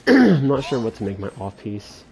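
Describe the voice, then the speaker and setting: A man's voice: medium pitch, huge pitch swings, a little slow, darkish tone, faint music behind. A man casually chatting and muttering to himself as he livestreams a game. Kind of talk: casual gameplay chat.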